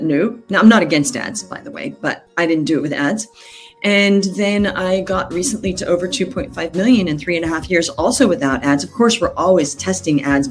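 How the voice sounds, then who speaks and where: A woman in her fifties with a fairly low voice talks as an interview guest. She explains her story conversationally, like a coach used to talking people through things. There is faint music behind her. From United States and Australia. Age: 50s. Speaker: business coach, podcast guest.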